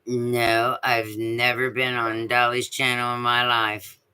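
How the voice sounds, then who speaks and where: Woman, deep voice, slow talker, bright tone, rambling, lots of fillers. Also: little pitch movement, smooth, rough recording. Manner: rambling, many fillers and restarts. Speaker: live stream guest. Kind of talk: casual chat.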